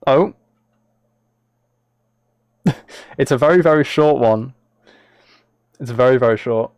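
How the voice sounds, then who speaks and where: A man's voice: mid-range voice, slow pace, darkish tone, dry recording. A man live streaming, talking casually and a little hesitantly to his viewers as he reads chat and reacts.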